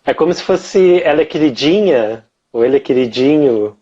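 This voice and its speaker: A man from southern Brazil, a native Portuguese speaker, talks in an easygoing way on a live video call. His voice is mellow and dark, and the call audio sounds dull and lo-fi. He pauses often as he explains. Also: on the high side, lively intonation, husky. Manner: friendly, easygoing, with fillers and restarts. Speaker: Portuguese teacher, co-host. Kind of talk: casual live-stream chat. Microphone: webcam or phone on a video call.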